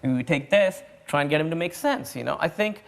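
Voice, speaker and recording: Animated young man telling stories, laughing. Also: on the high side, fairly quick, creaky. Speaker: comedian guest. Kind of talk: casual panel chat.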